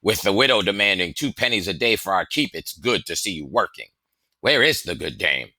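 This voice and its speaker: A man voicing a big, hearty, commanding fellow, gruff but fond, with blustering theatrical delivery in a storybook reading. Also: low voice, lively intonation, brisk, bright, forceful tone, no echo, pitched above the performer's own voice, heavily acted. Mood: blustering, hungry. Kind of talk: storybook character voice in audiobook reading.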